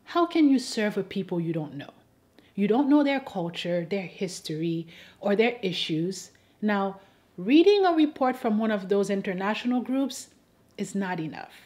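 A woman from Haiti speaks as the host of an advocacy video, in a mid-range voice. Her delivery is scripted and persuasive. She makes her points deliberately, with a wry, rhetorical edge. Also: mid-range voice, lively intonation, darkish tone. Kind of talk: scripted persuasive commentary addressed to camera.

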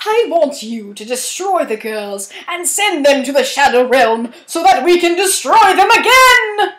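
A woman's voice: high voice, sing-song, a little slow, fairly bright tone, echoey room, full character voice. A woman voicing a scheming male villain, giving orders in an affected, commanding manner for an amateur cartoon audition. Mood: sinister, commanding.

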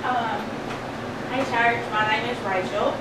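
Woman, 30s, hesitant church testimony; distant mic, very echoey hall, steady noise. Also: fairly high pitch. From Kentucky, USA. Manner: halting, many ums and uhs. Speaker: program member giving testimony. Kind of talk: personal testimony.

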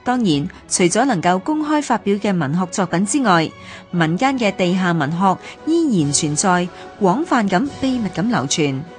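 Animated woman narrator over music, Hong Kong Cantonese. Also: medium pitch. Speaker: narrator of a radio history series. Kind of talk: scripted documentary narration.

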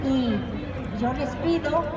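A woman activist with a Mexican accent speaks in a high voice, slowly and with emphasis. Her speech echoes from far off across a noisy street rally. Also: dull audio. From Oaxaca, Mexico. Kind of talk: protest speech. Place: city street rally, outdoors. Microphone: PA speaker, filmed from a distance. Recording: echoey outdoor PA, distant, noisy crowd.

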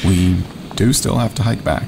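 A steady male wilderness photographer in a naturalistic audio drama. His voice is low and creaky, his delivery terse and plainspoken, with outdoor noise clearly behind him. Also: normal speed. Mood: practical, relaxed. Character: steady, concerned male wilderness photographer, the woman's camping partner.

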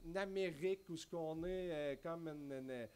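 A man in his thirties with a Québécois accent, preaching a sermon from a church pulpit with animated gestures and frequent restarts. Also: fairly high pitch, measured pace, smooth. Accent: Québécois French. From Quebec. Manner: animated, gesturing widely, many restarts and spoken asides. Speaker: pastor. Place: church stage at a wooden pulpit, indoors.

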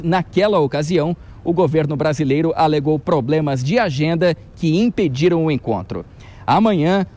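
A man's voice: on the high side, warm tone. A Brazilian man in his thirties delivering a live news report into a handheld microphone outdoors. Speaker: field reporter. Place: outdoors at night in front of a large building. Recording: outdoor live feed with ambient noise.

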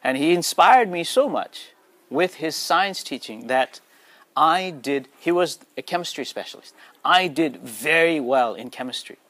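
A man's voice: a little high, animated, measured pace, fairly bright tone, dry recording. A man in his forties from Nepal, native speaker of Nepali, giving an earnest motivational talk to schoolchildren and often checking in with them. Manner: earnest, asks the audience questions.

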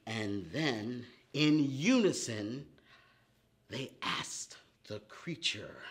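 Middle-aged man, children's storytime host, very slow pace, lively swooping pitch, theatrical pauses. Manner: animated, theatrical, drawn-out delivery with gasps and dramatic pauses. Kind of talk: children's storytime lesson with expressive poem reading. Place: indoor set with painted forest backdrop.

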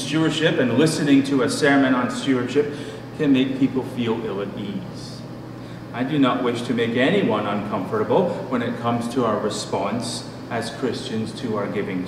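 A middle-aged man preaching a sermon as a pastor, in a measured, deliberate manner with pauses. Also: medium pitch. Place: church room at a pulpit. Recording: distant mic, echoey hall, noisy background.

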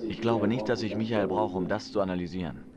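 A man's voice: lowish voice, fairly even intonation, fast talker, highs cut off, a loud crowd, close to an everyday voice. An actor playing an inquisitive, self-assured investigator in his thirties, asking brief questions in an adventure game. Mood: dry, dismissive. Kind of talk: German-dubbed video game dialogue. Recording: loud music behind the voice. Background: loud game music.

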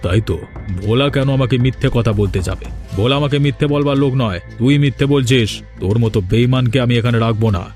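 Man playing a stern village boss, medium-low voice. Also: fast, a little mellow, faint music behind, natural voice. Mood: angry, accusing. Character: stern, gullible male village fish-farm boss. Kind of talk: cartoon dialogue.

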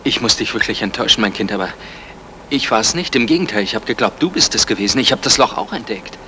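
A low-voiced, dry husband. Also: male voice, lively intonation, fast, pushed and bright, slight background noise. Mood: calm, candid. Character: dry, calm husband in a crime comedy. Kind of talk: 1960s German radio play acting.

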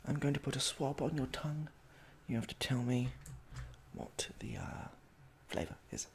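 Young male, ASMR medical roleplay. Soft-spoken, hesitant, awkwardly courteous, with vocal fry and varied intonation. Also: mid-range voice, animated, slight background noise. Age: young adult. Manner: halting, hesitant, awkwardly polite. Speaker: ASMR performer playing a medical examiner. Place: small domestic room indoors.

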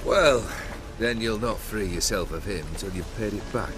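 A man's voice: on the low side, moderate pace, background noise, close to an everyday voice. An actor playing a haughty, cynical middle-aged nobleman bandit leader in a fantasy game, theatrical and sardonic in formal, archaic phrasing. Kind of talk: fantasy video game cutscene acting.

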